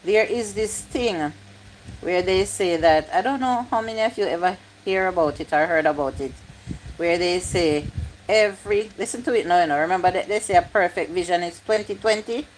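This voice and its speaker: A woman's voice: fairly low pitch, normal speed, a little hiss or hum, rough recording. A woman, chatting casually on a livestream, reading comments aloud and repeating her points. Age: 50s. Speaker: YouTube live streamer.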